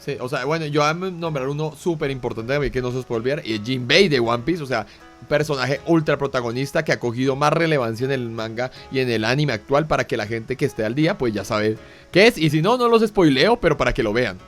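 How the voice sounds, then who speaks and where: A man's voice: medium pitch, lively intonation, quick pace, soft background music. A man from Colombia, co-hosting a talk show, chatty and casual with filler tags. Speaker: co-host. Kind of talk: casual panel chat.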